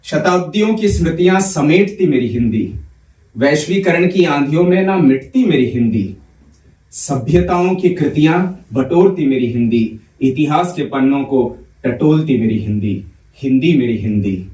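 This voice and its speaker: A young man on a comedy club stage, reciting poems in a measured way and bantering quickly between them. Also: on the high side, lively intonation, mellow. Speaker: poet and comedian. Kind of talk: poetry recital with stand-up banter. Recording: roomy club sound from a distance.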